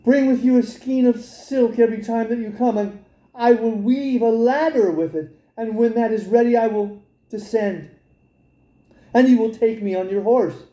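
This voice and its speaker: Man as an innocent, high-voiced young maiden. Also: normal speed, mellow, roomy sound, poor audio. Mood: trusting, willing. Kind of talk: storybook character voice.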